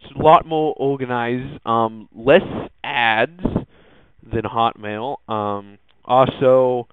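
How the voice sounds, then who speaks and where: The sound is thin and phone-like, dry and close with little room to it. A man talks casually as a podcast host, in a smooth voice. His delivery is halting and hesitant as he works through the news. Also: medium pitch, steady tone, no echo. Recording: muffled, phone-like narrowband audio.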